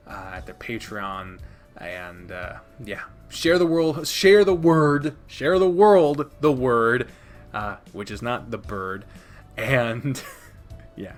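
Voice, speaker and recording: A man hosting a casual countdown video, talking informally and emphatically with plenty of swearing. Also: lowish voice. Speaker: video host, visual novel reviewer. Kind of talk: casual scripted top-ten countdown commentary.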